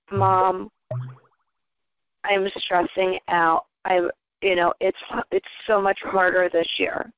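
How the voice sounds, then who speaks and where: A woman's voice: on the low side, clean tone, dry recording, dull audio. A middle-aged woman giving a casual personal testimonial, telling her story conversationally as a parent on a recorded call. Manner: conversational, retelling dialogue in quotes. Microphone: recorded conference call.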